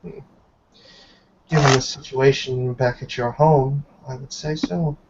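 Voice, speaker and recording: A man voicing a stern, dignified old grandmother in a tabletop game, formal and measured. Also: mid-range voice, fairly even intonation, darkish tone, natural voice. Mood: grave, disapproving. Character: stern, disapproving old grandmother, dignified elder warrior woman. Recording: muffled online call with background noise.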